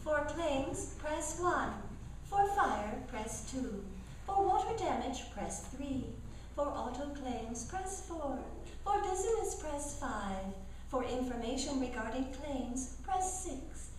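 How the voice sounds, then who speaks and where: A woman's voice: high voice, animated, slow. A woman playing an officious phone menu operator in a comic radio commercial sketch. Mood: sing-song, officious. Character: automated insurance phone menu operator, droning female clerk. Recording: echoey hall, distant mic, faint noise.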